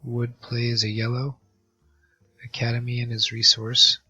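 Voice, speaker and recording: A hoarse man with a cold commentating an online card game match, reading out card text with many halting fillers. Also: mid-range voice, completely flat delivery, bright, forceful tone, dry recording. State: hoarse from a virus. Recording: dull lo-fi mic with faint music.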